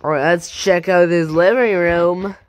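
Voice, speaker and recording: A gaming channel host giving a casual video game tour, thinking aloud in an exclamatory, animated way. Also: medium pitch, a little slow, slight background noise.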